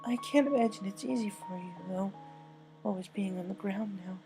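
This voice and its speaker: A woman's voice: medium pitch, moderate pace, over music, natural voice. A woman voicing a grieving, anxious young female pony in a story, with a shaky, tearful, hesitant voice. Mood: fragile, pleading. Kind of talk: dramatic fan-fiction story reading.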